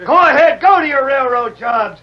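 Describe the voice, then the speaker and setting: An actor playing an excitable, rowdy frontier townsman in a 1940s radio western, folksy and shouting. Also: very high-pitched, moderate pace, crowd noise. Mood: excited. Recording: distant, muffled, hissy old radio broadcast.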